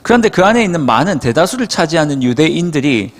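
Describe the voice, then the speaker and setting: A male youth pastor from Seoul preaching in a church hall, his pitch rising and falling with plenty of life. He talks in a casual, conversational way and keeps asking the room to agree with him. The voice carries a long hall reverb. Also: big pitch swings, fast talker. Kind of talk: sermon.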